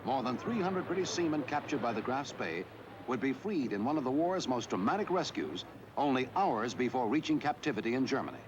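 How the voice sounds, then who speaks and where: A man reading scripted historical newsreel narration in a measured, formal delivery. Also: mid-range voice, animated, muffled recording, background noise. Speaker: documentary narrator.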